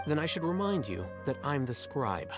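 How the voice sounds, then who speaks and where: A man playing an aloof, pedantic young scholar and strategist in a video game, deadpan and precise. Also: medium pitch, normal speed, over music, natural voice. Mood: dry, unbothered. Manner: deadpan, matter-of-fact, precise.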